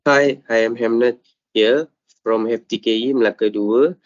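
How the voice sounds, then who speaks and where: A man's voice: mid-range voice, fairly even intonation, moderate pace, dry recording, highs cut off. A man, introducing himself in simple, slightly broken phrasing. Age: 20s. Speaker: student presenter. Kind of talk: formal self-introduction.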